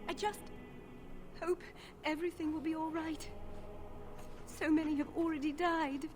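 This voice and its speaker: High-voiced, frightened village girl. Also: little pitch movement, unhurried, over music. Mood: despairing. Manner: timid, faltering, plaintive. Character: frightened, meek young village woman, apologetic and despairing. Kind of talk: video game character dialogue.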